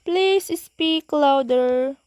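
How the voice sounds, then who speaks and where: A woman's voice: high-pitched, drawn-out words, darkish tone, no room sound. A young woman acting a formal, detached telephone operator in an amateur radio play, with clipped courteous phrases.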